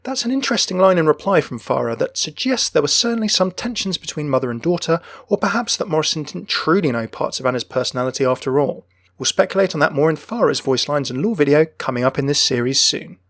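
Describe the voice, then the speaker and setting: Young man, quick pace, dry close recording, scripted gaming narration, enthusiastic host. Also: fairly high pitch, animated, fairly bright tone, no echo. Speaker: video game channel host. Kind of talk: scripted narration, lore commentary. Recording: dry close voice over music. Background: background music.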